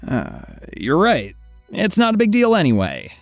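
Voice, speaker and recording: Fussing dad, swooping intonation, creaky edge, nervous, hesitant, placating, audio drama. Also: male voice, on the high side, wildly swooping pitch, measured pace, no echo, clean studio sound, faint music behind, heavily acted. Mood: worried, placating. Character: anxious, fussing father, well-meaning and awkward. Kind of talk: naturalistic audio drama acting.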